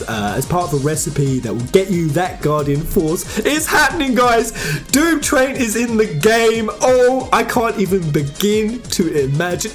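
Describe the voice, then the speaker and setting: Animated pitch swings, emphatic fan theory host, informal and exclamatory, mid-range voice, music in the background. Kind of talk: excited fan theory commentary over game footage.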